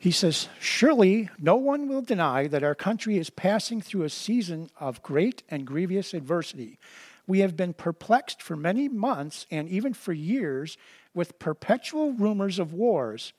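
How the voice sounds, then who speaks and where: High-voiced man preaching, dry sound. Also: very animated, a little mellow, no echo. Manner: conversational, repeats words when starting sentences; reads scripture slowly and deliberately. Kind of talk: sermon with scripture reading.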